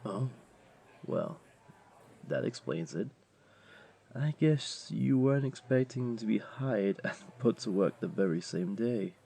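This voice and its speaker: A flirty male jaguar beastling played by a man lounges through a tavern roleplay in a very low, creaky voice. His delivery is playful and coaxing, swinging widely in pitch as he teases the listener. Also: expressive, mellow, heavily acted. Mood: teasing, reassuring. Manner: playful, coaxing, unhurried teasing. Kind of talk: roleplay audio drama, speaking directly to listener.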